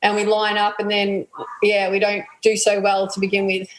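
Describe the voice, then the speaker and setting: A woman in her thirties with an Australian accent, answering interview questions in a relaxed way, with lots of ums and yeahs. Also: mid-range voice, moderate pace, echoey room, rough recording. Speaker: athlete guest.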